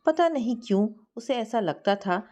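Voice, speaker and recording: A woman narrating a scripted story in an expressive, sentimental manner. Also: on the high side, normal speed.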